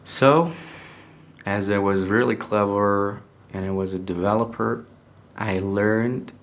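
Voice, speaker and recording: A young man from Iran with an Iranian accent, speaking English as a second language with a native Persian background, telling a personal story in a casual, halting way full of restarts. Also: fairly low pitch, steady tone. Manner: halting, hesitant English with many restarts and fillers. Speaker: podcast speaker.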